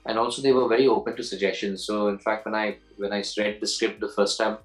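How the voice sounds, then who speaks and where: Low-voiced man in his forties, chatty. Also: little pitch movement, quick pace, faint music behind, rough recording. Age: 40s. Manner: rambling, restarts and repeats words, laughs easily. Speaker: actor being interviewed. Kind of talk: casual interview answers.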